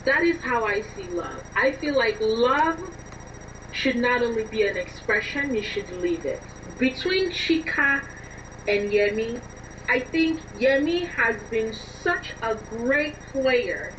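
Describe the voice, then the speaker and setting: Female interview guest, earnest writer explaining herself, slightly high voice, recorded at a distance with faint noise. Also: expressive, takes it slowly, fairly bright tone. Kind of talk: reflective interview conversation. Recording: dull lo-fi, distant, noisy line.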